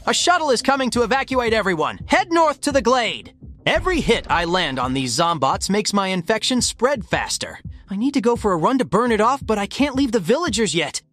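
A man's voice: high voice, sing-song, brisk, a bit bright. A man playing a young heroic hedgehog, cocky but worried, in a dramatic comic dub with casual, earnest delivery. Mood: urgent. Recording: music behind the voice.

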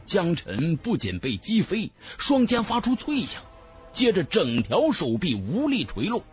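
A man's voice: sits high, huge pitch swings, unhurried. A man narrating a fantasy novel as a dramatic scripted audiobook. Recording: music bed under the voice.

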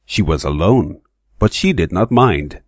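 A man playing a solemn storybook narrator, telling a fairy tale in a measured way. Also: low-pitched, lively intonation, no echo, studio quality.

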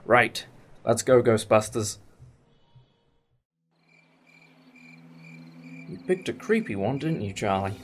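A man's voice: medium pitch, big pitch swings, normal speed. A man playing a wry, old-fashioned time-travelling alien leader in a fan audio drama, explaining things with some hesitation. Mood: wry, patient. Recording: amateur recording with clear background noise.

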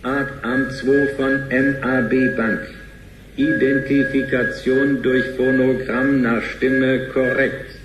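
A man voicing a cold, officious bank computer in a radio play, clipped, monotone and telegraphic. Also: mid-range voice, slow, roomy sound, music in the background, close to an everyday voice, poor audio. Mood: stern, impersonal.

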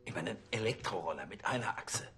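Actor as a fast-talking, pompous police chief. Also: male voice, lowish voice, lively intonation, background noise, close to an everyday voice. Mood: officious. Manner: pompous, hesitant speechifying. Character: fussy, self-important male provincial police chief.

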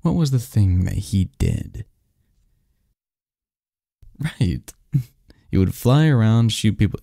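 Snarky bookish male research assistant played by a man for ASMR roleplay; deep, gravelly, wry, fond, chuckling under his cynicism. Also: mellow, no echo, clean studio sound, heavily acted. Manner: wry, halting and chuckling, drifts into bookish lecturing. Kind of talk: intimate ASMR audio roleplay.

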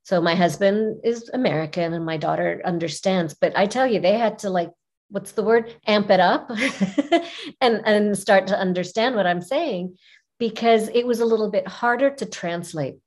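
A middle-aged woman whose first language is Arabic, telling personal stories as an interview guest in an expressive way, repeating phrases for emphasis. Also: medium pitch, moderate pace. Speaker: podcast guest, image consultant. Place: small furnished room indoors, video call. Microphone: video call, seated at webcam distance.

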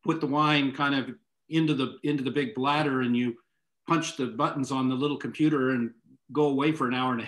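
An older man, a guest on a video call from home, explaining casually with hesitant pauses. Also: medium pitch, fairly bright tone, rough recording. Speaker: winery owner, interview guest. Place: home room with bookshelves. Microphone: video call microphone.

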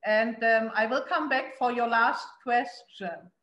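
A woman's voice: a little high, slow, fairly bright tone, echoey room, dull audio. A middle-aged woman with a German accent speaking on a panel over a video call. She talks earnestly and emphatically, with frequent fillers and restarts. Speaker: city investment promotion official. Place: indoor room at home. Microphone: laptop webcam on a video call.

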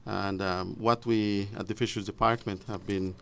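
A man giving formal, measured, halting explanations as an expert guest on radio. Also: on the low side, fairly even intonation, vocal fry, a bit bright, slight background noise. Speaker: fisheries officer, studio guest expert. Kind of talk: explanatory interview answers. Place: radio studio.

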